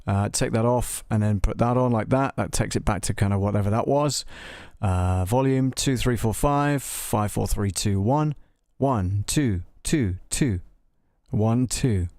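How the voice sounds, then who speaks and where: A man hosting a live software walkthrough, talking casually and tentatively, thinking aloud as he tests things. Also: on the low side, unhurried, no echo, clean studio sound. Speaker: livestream host demonstrating audio software.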